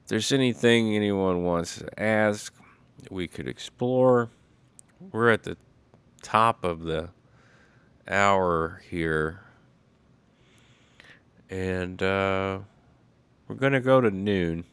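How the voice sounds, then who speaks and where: A man hosting a casual solo livestream, talking in a slow, rambling way with many fillers, a little congested. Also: low-pitched, a bit bright, clear, resonant tone, no echo. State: congested after illness. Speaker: livestream host.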